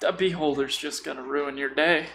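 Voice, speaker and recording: A man's voice: fairly high pitch, fairly even intonation, moderate pace, gravelly, fairly bright tone, a little hiss or hum. A man in casual podcast conversation, explaining tabletop role-playing in a blunt, joking way. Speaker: podcast speaker.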